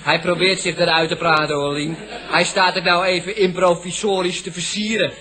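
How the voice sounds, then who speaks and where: An actor playing a cheeky, stubbornly literal working-class Dutch nephew in a comic 1970s radio play, talking colloquially. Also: male voice, high voice, fairly even intonation, moderate pace, a crowd in the background, close to an everyday voice. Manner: colloquial, stubbornly matter-of-fact.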